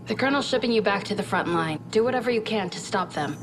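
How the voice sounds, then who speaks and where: A woman's voice: medium pitch, expressive, quick pace, over music, natural voice. A woman playing an earnest, candid scientist who briefs a military commander in a video game cutscene, speaking in a thoughtful, conversational way. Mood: urgent, grim. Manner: conversational, thoughtful, with reflective asides. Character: earnest female scientist advisor, candid, inquisitive and troubled.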